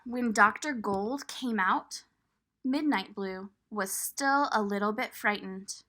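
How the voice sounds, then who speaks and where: A woman reading a picture book aloud to young children, expressive and pausing to point things out. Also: mid-range voice. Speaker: children's storybook reader.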